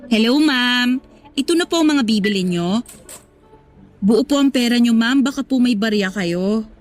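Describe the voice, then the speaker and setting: A woman playing a young cashier in a Tagalog radio drama, deferential and hesitant in manner. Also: high-pitched. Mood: polite, busy. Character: young female cashier, eager, polite and nervous. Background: music.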